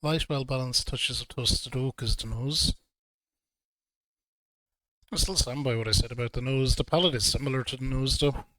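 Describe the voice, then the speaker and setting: A man reviewing whiskey in a relaxed, hesitant way, a little throaty from a cold. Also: mid-range voice, normal speed, long pauses, dry recording, poor audio. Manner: relaxed, hesitant with frequent ums. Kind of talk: casual video review.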